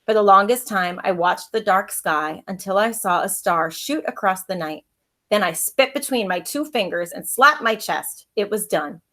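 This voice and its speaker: A woman's voice: mid-range voice, lively intonation, normal speed, fairly bright tone. A woman who is an elementary school teacher, reading a children's storybook aloud with expression.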